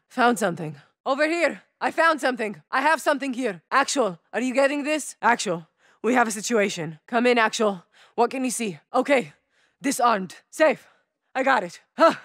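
A fierce, terse soldier. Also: female voice, normal speed, no echo, clean studio sound. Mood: steady, focused. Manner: terse combat callouts, shouted warnings and low calm lines. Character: fierce young female soldier operator. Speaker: playable game operator. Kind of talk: video game voice lines.

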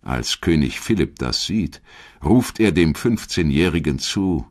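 A man reading scripted history documentary narration in a measured, clearly articulated storytelling manner. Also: very deep voice, lively intonation.

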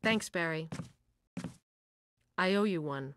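A woman's voice: on the low side, slow pace, noisy background. A woman playing an earnest, concerned young police officer in a dramatic video game, with emotive delivery. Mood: earnest, relieved. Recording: music behind the voice.

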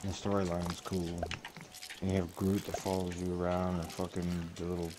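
A man's voice: low voice, monotone, moderate pace. A man chatting casually while gaming, rambling and swearing freely. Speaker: gamer talking while playing. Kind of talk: casual chat. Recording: clearly noisy game-session mic, clicks.

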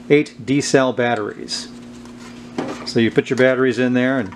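A man gives a casual hands-on demonstration as host of a homemade video. His voice sits at a medium pitch and he explains things informally, without hurry. Some noise runs behind him. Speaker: host of a vintage electronics video. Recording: clear steady background noise.

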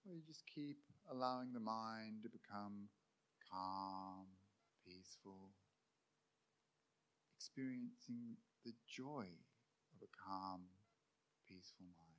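A man who teaches meditation, guiding a sitting practice calmly and gently, in short phrases with long pauses. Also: lowish voice, low-quality recording. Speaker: meditation teacher, Buddhist monk. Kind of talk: guided meditation.

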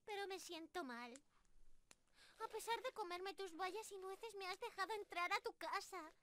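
A very high, bright voice of a young squirrel girl for an ASMR roleplay. She is sweet, excitable and a little thieving, speaking playfully and pleadingly right to the listener. There is faint noise behind her. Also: unhurried. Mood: soft, content. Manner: playful, genki, pleading and apologetic.

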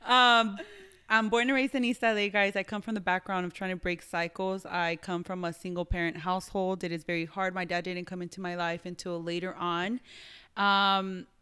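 A woman's voice: on the low side, pushed and bright, smooth. A woman, chatting on a podcast in a bubbly, giggly way and slipping into phrases. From East Los Angeles. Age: 20s. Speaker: podcast co-host. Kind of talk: casual chat. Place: living room, on a couch. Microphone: handheld mic.

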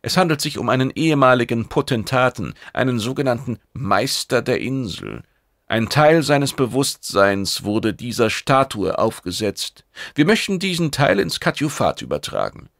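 A man's voice: mid-range voice, sing-song, moderate pace, gravelly, a bit bright, dry recording, studio quality, pitched above the performer's own voice. A man voicing a stern, impatient alien commander in a science fiction audiobook, formal, guarded and emphatic. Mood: explaining, emphatic.

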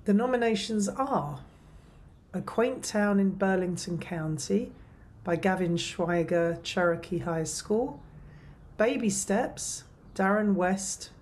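A woman's voice: mid-range voice, a little mellow. A woman in her fifties, a professor, formally presenting award nominees from home on a webcam.

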